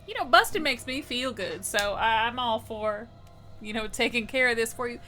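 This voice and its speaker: A woman's voice: on the high side, moderate pace, bright, forceful tone, faint music behind. A woman in a tabletop game who talks bluntly and teasingly. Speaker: player. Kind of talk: tabletop roleplay chat.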